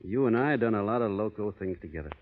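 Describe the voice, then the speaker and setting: An actor playing a plainspoken, stubborn, honorable cowboy with a drawling American Western accent in an old radio western. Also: male voice, fairly low pitch, animated, quick pace, phone-quality sound, lightly acted. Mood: grave, quietly warning. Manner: drawling folksy cowboy talk, understated. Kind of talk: 1930s-40s radio western acting. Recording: boxy old radio recording, hall reverb.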